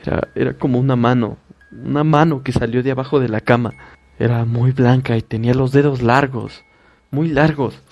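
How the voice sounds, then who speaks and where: A man voicing a frightened young woman who pleads and stammers in panic, in a gravelly recorded horror story. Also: medium pitch, fairly even intonation, moderate pace, darkish tone, lo-fi sound, natural voice. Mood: terrified.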